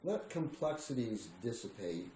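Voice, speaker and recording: A man reads spiritual writing slowly and solemnly, leaving deliberate pauses between phrases. His voice is mellow, and the room adds a noticeable echo. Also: mid-range voice, very animated. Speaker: reader. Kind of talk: slow spiritual reading of a written text. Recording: roomy echo with faint background noise.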